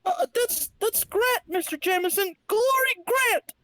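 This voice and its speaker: A man's voice: very high-pitched, lively intonation, unhurried, sharp tone, no echo, pitched above the performer's own voice, full character voice. A man voicing a flustered young woman who works as an office secretary in a comic-book reading. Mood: indignant.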